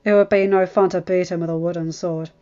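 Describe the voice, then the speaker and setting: A woman's voice: fairly low pitch, fairly even intonation, a little fast, warm tone, highs cut off, soft background music, lightly acted. A woman voicing a cocky teenage boy with a dry, taunting manner. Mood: teasing. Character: cocky, teasing bastard-born teenage boy.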